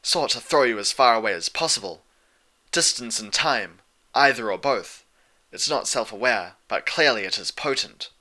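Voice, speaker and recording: A man's voice: medium pitch, animated, normal speed, pushed and bright. A man voicing a stern, solemn female pony princess with grave, formal delivery in a story reading.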